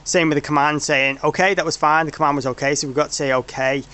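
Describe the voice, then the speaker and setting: A man with a moderately high voice talks through a technical demonstration. He is informal and somewhat rambling, often restarting and correcting himself as he explains how a system works. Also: fairly even intonation, fast, bright, forceful tone, clear, resonant tone. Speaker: product developer presenting a demo.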